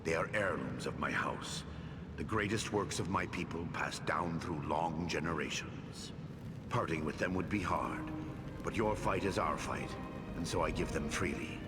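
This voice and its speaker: An actor playing a weary, proud old dwarf lord, speaking in a grave, formal manner in a fantasy game cutscene. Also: male voice, low-pitched, measured pace. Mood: grave, stern. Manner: solemn, formal. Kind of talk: dramatic game cutscene acting. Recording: long hall reverb under loud music.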